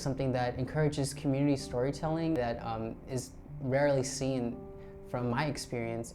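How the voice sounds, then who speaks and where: A twenty-year-old man who volunteers and writes, speaking thoughtfully with pauses in an interview. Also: medium pitch. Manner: thoughtful, pausing on fillers. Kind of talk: interview answers. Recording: guitar music clearly behind.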